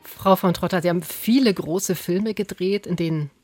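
Slightly husky woman hosting culture radio. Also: lowish voice, normal speed, darkish tone, dry recording, faint music behind. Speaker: radio interviewer and host. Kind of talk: prepared, formal cultural radio interview. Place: radio studio. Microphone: close studio microphone.

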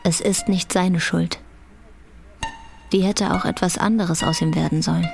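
A woman's voice: fairly low pitch, steady tone, unhurried, vocal fry. A woman reading scripted narration for a German radio drama. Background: guitar music.

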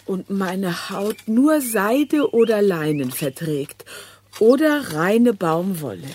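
A woman's voice: medium pitch, sing-song, takes it slowly, a little mellow, a little hiss or hum. An actress in a German radio crime play playing a naive, devoted woman, earnest and hesitant as she reads letters aloud. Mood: earnest reading. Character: naive, devoted woman admiring a jailed lover.

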